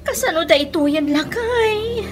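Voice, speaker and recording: Woman acting as a scolding anxious wife, very shrill high pitch, radio drama, clear music behind. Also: lively intonation, measured pace. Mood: worried.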